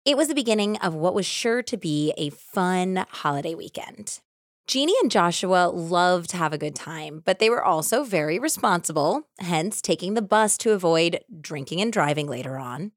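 A woman's voice: lowish voice, lively intonation, normal speed, dry recording, clean studio sound. A woman co-hosting a podcast, mixing casual chat with true-crime storytelling in a playful, teasing way. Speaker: podcast co-host.

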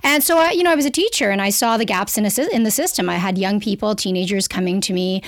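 A woman from Canada with a Canadian accent, a guest expert explaining at length in a lively, talkative way and laughing easily. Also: mid-range voice, quick pace, no room sound. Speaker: guest sexologist. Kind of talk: podcast interview, explaining.

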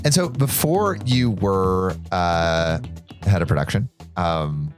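A man's voice: low voice, lively intonation, slow pace, faint music behind. A man hosting an interview show, asking loose, hesitant questions full of fillers. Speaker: podcast host, interviewer.

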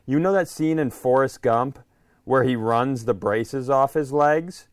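Clear, close-miked American man, casual co-host. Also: medium pitch, measured pace, no echo. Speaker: podcast co-host. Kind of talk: casual podcast chat.

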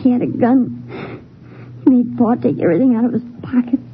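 A woman playing a weak, frightened, grieving young boy in a 1950s radio drama, speaking in a halting, childlike way. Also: on the high side, lightly acted. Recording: muffled, noisy 1950s radio recording.

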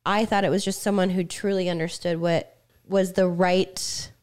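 A woman co-hosting a podcast, talking candidly and reflectively with frequent restarts, her voice slightly raspy. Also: on the low side, little pitch movement, a little mellow. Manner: reflective, speaks in halting restarts. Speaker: podcast co-host. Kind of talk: candid conversation.

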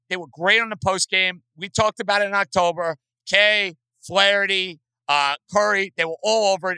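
A man's voice: high voice, bright, forceful tone, clear, resonant tone, no room sound, rough recording. A man hosting a sports call-in show. He talks emphatically and repeats points for stress. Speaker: sports radio host.

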